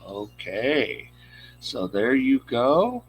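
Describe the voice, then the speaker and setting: A man's voice: medium pitch, sing-song, very slow, slight background noise. A male host giving loose, casual commentary on a video. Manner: laid-back, loose with fillers.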